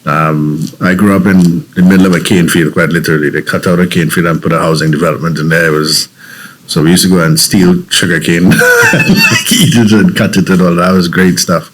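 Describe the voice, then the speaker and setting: A man with a Trinidadian accent and a very low voice speaks as an interview guest. He tells his story in a reflective, earnest way, often restarting his sentences as he thinks aloud. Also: wildly swooping pitch, a little fast, fairly bright tone, poor audio. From Trinidad and Tobago.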